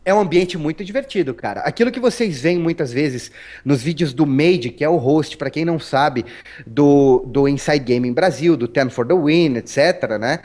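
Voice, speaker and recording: Brief: Paulistano male guest, relaxed storyteller, raised pitch, lots of restarts and "né?". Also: normal speed. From Brazil. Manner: chatty, storytelling, frequent restarts and tag questions. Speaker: interview guest. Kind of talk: casual interview talk.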